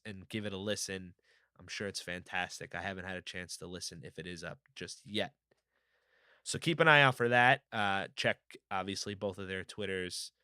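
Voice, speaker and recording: Slightly raspy man. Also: on the low side, pushed and bright, no room sound, clean studio sound. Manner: chatty, rambling, upbeat fan enthusiasm. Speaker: podcast host. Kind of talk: casual solo podcast talk.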